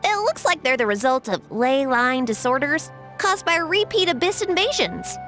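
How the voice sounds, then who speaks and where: Bright, high-pitched young game staffer. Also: female voice, sing-song, moderate pace, soft background music. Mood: explanatory. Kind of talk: video game character dialogue.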